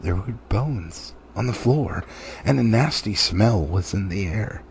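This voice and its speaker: A man's voice: lowish voice, expressive, a little slow, creaky, a little mellow, slight background noise. A man narrating a storybook expressively as an audiobook reader.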